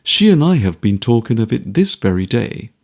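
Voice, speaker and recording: A man voicing a kindly older gentleman who speaks in a soothing, reassuring way. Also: fairly low pitch, very animated, normal speed.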